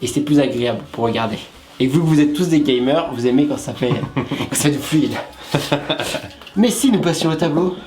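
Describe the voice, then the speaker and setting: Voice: mid-range voice, moderate pace, slight background noise. A co-host of a web show explaining things casually, with playful asides to the viewers.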